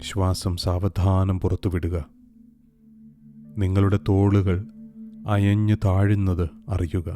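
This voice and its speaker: A man narrating a scripted guided meditation in a calm, soothing, unhurried way with long pauses. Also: low-pitched. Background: soft meditation music with singing bowls.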